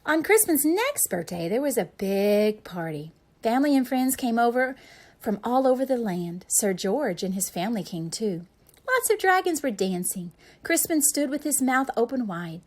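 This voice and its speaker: A woman reading a children's picture book aloud as a storyteller, with expressive, deliberate delivery for young listeners. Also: on the high side.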